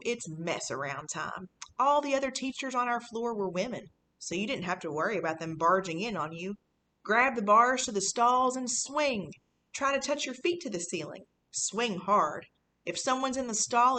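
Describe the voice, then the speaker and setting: A woman's voice: fairly high pitch, fairly bright tone, slight background noise. A woman reading a children's book in the voice of a sneaky, boastful fifth-grade boy, telling his story casually and conspiratorially. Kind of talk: first-person children's audiobook reading.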